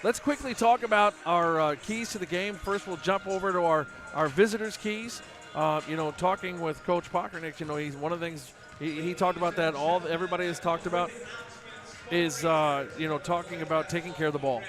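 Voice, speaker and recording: A man with a fairly high voice hosts a small-town basketball broadcast, talking casually and filling pauses with "uh." Music runs clearly in the background. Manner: chatty, many fillers. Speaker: play-by-play broadcaster. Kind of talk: local sports broadcast.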